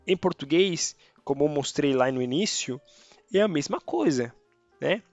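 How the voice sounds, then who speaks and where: Chatty Spanish-native teacher, Portuguese lesson. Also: male voice, a little high, lively intonation, measured pace, creaky, no echo, lo-fi sound, soft background music. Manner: chatty, checks in with tá and beleza. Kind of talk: casual online grammar lesson.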